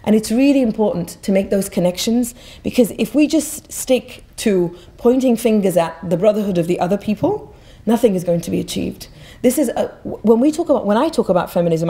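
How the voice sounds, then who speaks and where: Woman from Egypt, middle-aged, podium speaker, mellow voice, fervent and emphatic delivery. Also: mid-range voice. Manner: passionate, emphatic, repeats words when restarting. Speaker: guest speaker. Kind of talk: public talk at a podium. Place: auditorium stage. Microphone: podium mic.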